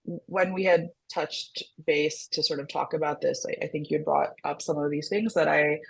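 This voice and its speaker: A woman in her thirties from Singapore with an American-sounding international school accent, talking in a thoughtful, halting way full of fillers. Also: deep voice, fairly even intonation, creaky, slightly rough, rough recording. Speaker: mental health counselor co-hosting a discussion. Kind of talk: casual reflective conversation.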